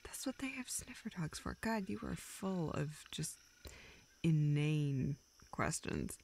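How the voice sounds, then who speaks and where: Gravelly, slow-talking self-deprecating young woman, acted by a woman. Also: low-pitched, full character voice. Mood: dry, wry. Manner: hesitant, halting, deadpan joking. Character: self-deprecating, dryly sarcastic young woman confiding in a close friend. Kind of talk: intimate audio roleplay, naturalistic acting.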